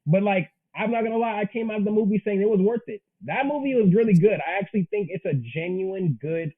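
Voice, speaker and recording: A man's voice: sits high, fast talker. A man in his early twenties chatting casually as an interview guest, earnest, emphatic and slangy, over tinny call audio. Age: early 20s. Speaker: interview guest, manga creator. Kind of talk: casual chat.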